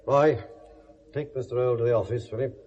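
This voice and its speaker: An actor playing a blunt, world-weary police inspector with a British accent and a sardonic, lecturing manner in a 1970s radio drama. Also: male voice, mid-range voice, fairly even intonation, brisk, a little mellow, natural voice. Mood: firm, official. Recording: dull vintage radio recording.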